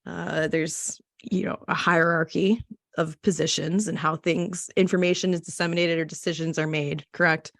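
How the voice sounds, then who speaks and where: A woman's voice: lowish voice, fairly even intonation, moderate pace, gravelly, no room sound, clean studio sound. A woman hosting an interview podcast, talking conversationally and informally as she sets up questions and checks her understanding. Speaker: podcast host interviewing a journalist.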